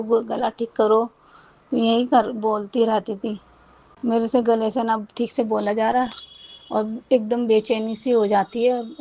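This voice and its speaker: A woman tells a personal devotional story in a fairly high voice. She rambles, restarts her sentences often and repeats her thanks again and again. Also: warm tone, low-quality recording. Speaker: devotee sharing a testimony. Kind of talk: personal testimony.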